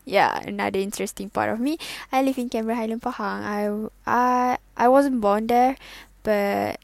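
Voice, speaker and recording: A twenty-year-old woman, introducing her first podcast in a halting, self-conscious way, full of fillers and giggles. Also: a little high, fairly even intonation, gravelly, dry recording. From Malaysia. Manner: hesitant, halting, with many fillers and self-corrections. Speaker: student podcaster. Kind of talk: casual solo podcast intro.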